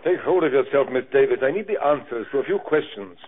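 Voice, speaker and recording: A man's voice: medium pitch, animated, fast, a little hiss or hum, lightly acted. A man playing a stern, unflappable police inspector in an old radio drama, clipped, dry and authoritative. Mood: firm. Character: stern, unflappable male Scotland Yard inspector. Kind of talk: 1950s radio drama acting. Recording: muffled old radio recording.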